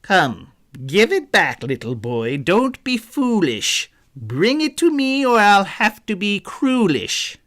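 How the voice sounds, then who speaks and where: A man voicing a sly, bossy storybook villain, a letter thief, with taunting, theatrical, drawn-out phrases. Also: high-pitched, wildly swooping pitch, fairly bright tone, pitched above the performer's own voice, full character voice. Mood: coaxing, menacing.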